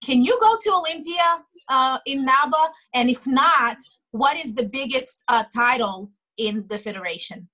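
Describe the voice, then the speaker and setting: Woman host, high bright voice, chatty, webcam interview. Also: lively intonation, moderate pace, few pauses, poor audio. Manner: chatty and enthusiastic. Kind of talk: casual interview. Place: indoor home room. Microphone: video call webcam.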